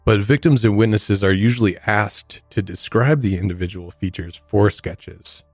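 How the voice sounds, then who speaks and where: Deep-voiced man co-hosting, close and dry. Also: normal speed, dry recording, soft background music. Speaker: podcast co-host. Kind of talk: true-crime podcast discussion.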